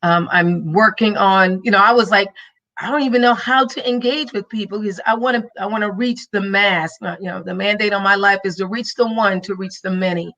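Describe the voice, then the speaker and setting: A woman hosts her podcast over a video call, speaking at a mid-range pitch. Her delivery is emphatic and sermon-like. She builds her points in rhythmic, faith-filled phrases. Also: brisk, bright, forceful tone, muffled recording. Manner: preachy and emphatic, faith-filled motivational cadence. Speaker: podcast host and minister. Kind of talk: live-streamed motivational talk.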